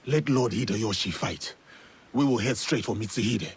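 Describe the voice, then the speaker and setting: A loyal game warrior, super husky and breathy, resolute, ready for battle. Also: male voice, lowish voice, moderate pace. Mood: determined. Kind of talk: video game cinematic acting.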